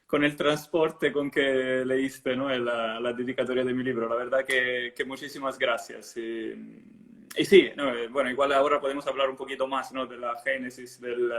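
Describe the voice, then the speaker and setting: A middle-aged man with an Italian accent speaks as an interview guest at a medium pitch. He gives a personal account in hesitant phrases, often adding small checking tags. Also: flat delivery, normal speed, a bit bright. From southern Italy.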